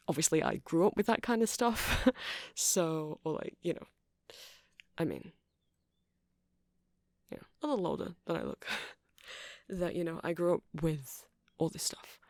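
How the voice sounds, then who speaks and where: A man playing a nerdy, friendly vampire who keeps a music shop, rambling gently and hesitantly in a casual ASMR roleplay, with a creaky, soft-spoken voice. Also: on the high side, no room sound, studio quality. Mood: nostalgic, rambling.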